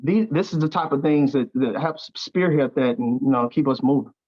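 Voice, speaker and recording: A man speaking as a guest in a reflective interview, earnest and halting, often repeating words as he searches for them. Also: mid-range voice. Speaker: stage play cast member, interview guest. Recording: slightly dull, lo-fi sound.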